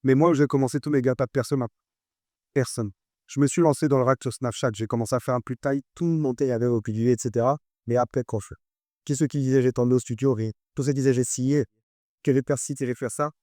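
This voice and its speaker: A man's voice: medium pitch, steady tone, fast, warm tone, dry recording, clean studio sound. A man in his twenties with a French accent, a guest artist talking casually in an interview and repeating phrases for emphasis.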